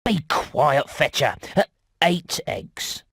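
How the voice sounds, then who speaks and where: Sly, swooping rat trader. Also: male voice, fairly high pitch, animated, slow, creaky, fairly bright tone, slightly rough, no echo, studio quality, full character voice. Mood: sly, haggling. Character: wheeler-dealer male rat trader, smug and sly.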